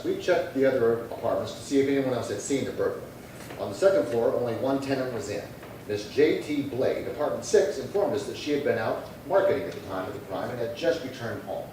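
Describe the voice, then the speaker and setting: A man playing a matter-of-fact, terse police detective in a 1950s radio crime drama, deadpan and procedural. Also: medium pitch, close to an everyday voice. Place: live theatre stage reading. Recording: echoey hall, distant mic, faint noise.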